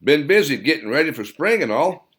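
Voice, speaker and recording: A man's voice: a little high, big pitch swings, a little fast, fairly bright tone, breathy edge, voice pushed up, exaggerated voice. A man voicing a plain-spoken rural fellow with terse, folksy replies in audiobook dialogue. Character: Charlie, plain-spoken rural pickup-driving widowed man.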